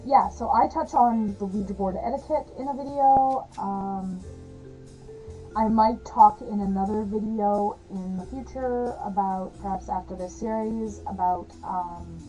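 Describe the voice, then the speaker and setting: A woman talking casually to camera as a witchcraft vlogger, chatty and rambling with frequent fillers and soft laughs, her voice slightly hoarse and gravelly. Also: medium pitch, rough recording.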